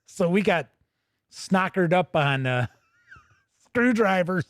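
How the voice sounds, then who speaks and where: A man's voice: high-pitched, animated, slow pace, no echo. A middle-aged American man hosting a podcast in casual, rambling chat, often breaking off and restarting his thoughts. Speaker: podcast host.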